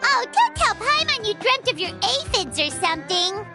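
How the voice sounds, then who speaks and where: A woman voicing a tiny, excitable fairy companion with a squeaky, childlike voice in a video game. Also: moderate pace, music in the background, exaggerated voice. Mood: curious, surprised. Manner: exclamatory, chirpy.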